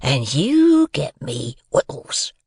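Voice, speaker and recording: Storybook villain, performed by a man: ragged growling convict, gravelly, threatening, rustic, dragging out every word. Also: mid-range voice, wildly swooping pitch, darkish tone, dry recording, studio quality, exaggerated voice. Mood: menacing. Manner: slow, drawn-out threatening rustic speech.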